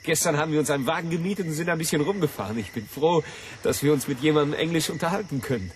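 An actor playing a brash, joking young tourist, breezy and sardonic in radio drama dialogue. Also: male voice, on the high side, rapid-fire, a little hiss or hum, natural voice. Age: young adult.

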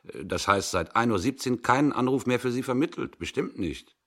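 A man's voice: mid-range voice, lively intonation, brisk, pitched above the performer's own voice, lightly acted. Man playing flustered, insistent switchboard lady. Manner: emphatic, protesting. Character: flustered, insistent female telephone switchboard operator. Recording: 1960s radio production.

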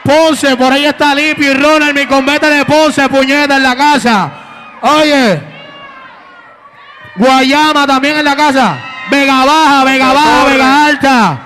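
High-voiced Puerto Rican reggaeton singer. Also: male voice, a little slow. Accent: Puerto Rican Spanish. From Puerto Rico. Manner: hype-man shouting to the crowd between verses. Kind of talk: live reggaeton performance with crowd shout-outs. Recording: loud backing music.

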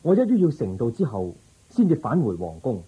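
A man playing a calm, resolute young prince seeking enlightenment in a Cantonese radio drama, speaking in an earnest, measured way. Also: mid-range voice, husky, dull audio. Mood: firm. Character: resolute young prince turned spiritual seeker, calm, compassionate.

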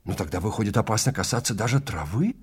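A man's voice: fairly low pitch, lively intonation, fast talker, slightly rough. A man playing an anxious, doubtful male safari client in an audio drama, full of questions. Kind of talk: Russian audio drama acting.

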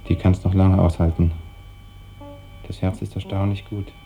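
An actor playing a detached hospital man in a stylized 1950s radio drama, speaking in a cold, clinical monotone. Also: low voice, moderate pace. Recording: dull old radio recording over music.

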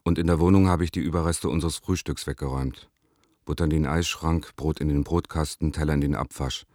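A man's voice: rumbling low, steady tone, a little fast, a little mellow, no room sound, clean studio sound. An actor playing a wistful lover who reads his letter to an absent girlfriend in a radio drama, tender, brooding and plain-spoken. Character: Leon, wistful lover writing to departed girlfriend.

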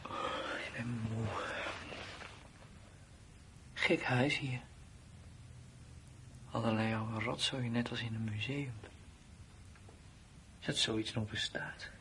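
An actor playing a weary, anxious twenty-one-year-old soldier who broods and mutters in a radio drama. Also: male voice, steady tone, slow, pauses often, pushed and bright. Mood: weary, brooding. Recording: noisy old radio play recording with rumble.

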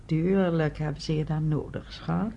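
An actress playing a knowing, affectionate middle-aged pioneer's wife in a radio drama, calm in manner. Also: female voice, sits low, moderate pace, slight background noise. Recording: dull, muffled old radio recording.

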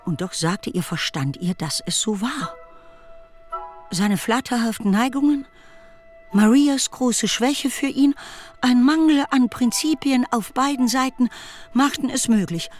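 Eine Frau erzählt als Sprecherin eines literarischen Hörspiels, getragen und ausdrucksvoll. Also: female voice, fairly high pitch, unhurried, soft background music. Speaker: narrator. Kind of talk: scripted literary narration in a radio drama.

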